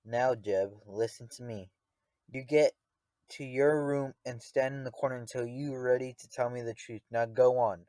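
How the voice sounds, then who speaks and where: A man's voice: medium pitch, fairly even intonation, moderate pace, warm tone, no echo, natural voice, low-quality recording. A man playing a stern, distrustful father in a radio drama, with a commanding, accusing manner. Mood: stern, angry. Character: stern, distrustful, bickering father of two children.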